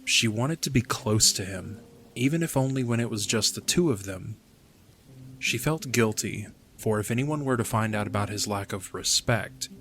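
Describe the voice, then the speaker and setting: Low-pitched man narrating fantasy story. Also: fairly bright tone.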